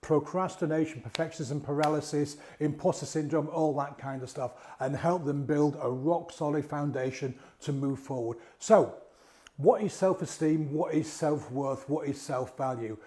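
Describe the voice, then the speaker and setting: A man, a mindset coach and hypnotist, croaky from a cold, giving a casual coaching talk to camera and asking his listeners rhetorical questions. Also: on the high side, moderate pace, mellow. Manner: conversational, asks rhetorical questions, repeats and restarts words.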